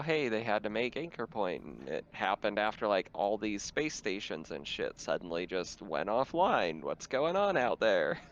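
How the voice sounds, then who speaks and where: A man talking casually as game master, explaining things in a halting way over dull, noisy voice-chat audio. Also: on the low side. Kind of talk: casual tabletop game talk.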